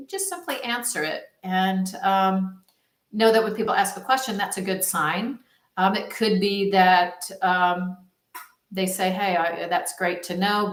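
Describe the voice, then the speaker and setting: A woman giving a casual, rambling promotional talk as a supportive phone marketing coach, speaking informally. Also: mid-range voice. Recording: roomy, echoing sound.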